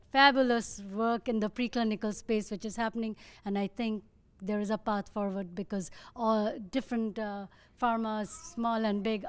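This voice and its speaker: A woman with an Indian accent, a researcher speaking thoughtfully on a panel and often pausing to find her words. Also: mid-range voice, clean tone. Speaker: muscle disease researcher.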